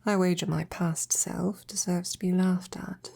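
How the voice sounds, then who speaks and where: A woman playing a devoted, tenderly teasing royal knight in an intimate roleplay audio, with breathy, often whispered, courtly speech. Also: fairly low pitch, level tone, mellow. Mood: teasing, affectionate. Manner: slow, tender, courtly phrasing.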